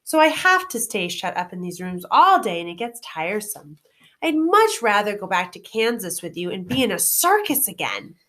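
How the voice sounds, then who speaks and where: A woman voicing a grown man, a friendly humbug wizard, in an animated storybook read-aloud. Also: mid-range voice, normal speed. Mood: confiding.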